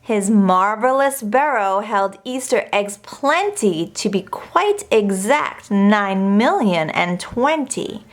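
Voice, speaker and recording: A woman reading a rhyming children's picture book aloud in an expressive, deliberate storytelling manner. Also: medium pitch. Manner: measured, deliberate storytelling delivery. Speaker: storybook reader.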